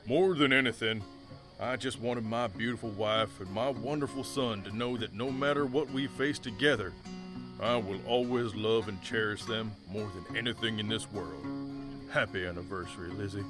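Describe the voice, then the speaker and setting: A man's voice: on the low side, normal speed, over music, close to an everyday voice. A man playing a proud, devoted rancher husband in a Western audio drama. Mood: earnest, loving. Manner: earnest, plain-spoken.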